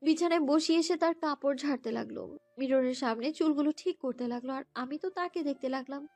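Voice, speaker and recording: A woman narrating a romantic story in the first person, in a girlish, expressive, dramatised way. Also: high-pitched, brisk, no room sound. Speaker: narrator and lead voice actor. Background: background music.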